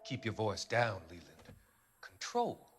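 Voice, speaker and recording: Crime-game villain: smooth psychiatrist, cold and calculating, formal phrasing, lively intonation, loud music behind. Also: male voice, lowish voice, exaggerated voice. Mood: cold, controlled. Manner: measured, formal, falsely soothing. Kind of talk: cinematic crime game voice acting.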